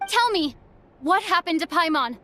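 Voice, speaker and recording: High-voiced, determined young female traveler. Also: expressive, moderate pace, bright, forceful tone, a little hiss or hum, heavily acted. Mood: urgent, resolute. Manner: earnest, urgent. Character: determined young female traveler searching for her kidnapped companion. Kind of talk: video game cutscene acting.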